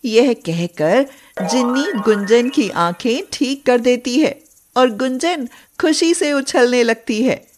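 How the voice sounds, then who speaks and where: A woman's voice: fairly high pitch, slow, darkish tone, soft background music. A woman narrating a scripted children's moral story as a storyteller.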